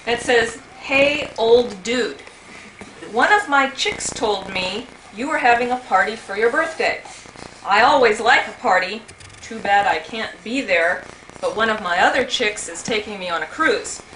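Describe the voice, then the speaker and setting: A woman's voice: on the high side, lively intonation, a little slow, a bit bright. A woman reading out joke birthday messages to guests at a home party. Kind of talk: comic reading aloud at a party. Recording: very noisy, echoey amateur home video.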